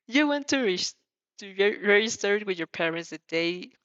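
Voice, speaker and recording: A woman's voice: medium pitch, lively intonation, normal speed, a bit bright, no room sound, lo-fi sound. A woman reading fan fiction expressively, tripping over words and reacting casually as she goes. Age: adult. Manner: stumbles over words and restarts, giggly. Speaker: fan fiction reader and dubber.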